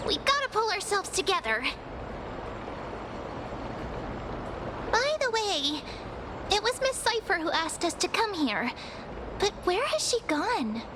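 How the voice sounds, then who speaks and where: A woman's voice: very high-pitched, very animated, moderate pace, noisy background. A woman voicing a sweet, earnest and wistful young girl adventurer in a video game. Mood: self-rallying.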